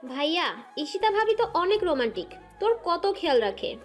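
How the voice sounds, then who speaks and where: A woman playing a lively, teasing younger sister in a romantic audio story. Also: extremely high pitch, a little fast. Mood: teasing, indignant. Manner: animated, teasing. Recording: voice with background music.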